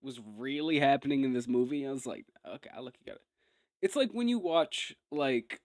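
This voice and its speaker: A man's voice: mid-range voice, lively intonation, normal speed, no room sound, studio quality. A man doing casual live reaction commentary as a streamer, rambling and swearing in a slangy way.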